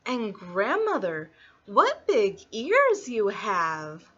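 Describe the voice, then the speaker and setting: A woman's voice: medium pitch, wildly swooping pitch, very slow, fairly bright tone, breathy edge, highs cut off, exaggerated voice. A woman voicing a trusting, polite little girl in a storybook, earnest and wide-eyed in a sing-song way. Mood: puzzled.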